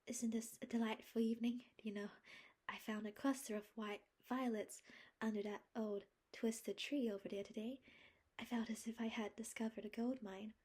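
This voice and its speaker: A dreamy, emotional young woman, as a woman reader puts her on, talks in a high, breathy voice that often sinks to a whisper. Her husky tone sits well above the reader's own low voice. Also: fairly even intonation, moderate pace, darkish tone. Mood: eager. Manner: breathy, rapturous, at times whispering. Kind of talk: audiobook character voice.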